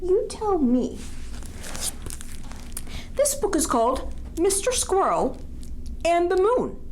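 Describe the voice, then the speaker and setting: A woman's voice: sits high, darkish tone, slight background noise. A woman reading a picture book aloud to young children, expressively, with questions and asides to her listeners.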